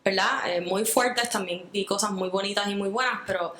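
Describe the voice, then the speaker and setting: A woman's voice: mid-range voice, moderate pace, a bit bright, reverberant. A Puerto Rican woman speaking earnestly in an interview, reflecting at length with fillers and restarts. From Puerto Rico. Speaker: guest. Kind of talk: interview conversation.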